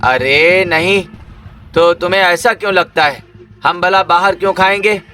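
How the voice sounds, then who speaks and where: A man's voice: high voice, measured pace, pushed and bright. A man playing a defensive, scolding young village husband in a cartoon story. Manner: scolding, bossy, dismissive.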